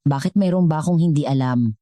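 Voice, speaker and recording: A woman's voice: deep voice, quick pace, warm tone, no echo, lightly acted. A woman voicing an older, scheming and suspicious mother-in-law in an audio drama, demanding and questioning.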